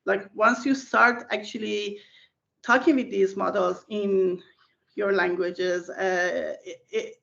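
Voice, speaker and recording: A woman's voice: medium pitch, fairly even intonation, slow, vocal fry, fairly bright tone, highs cut off. A woman whose first language is Persian, a research scientist answering interview questions in a thoughtful way with many fillers and restarts, heard over a video call.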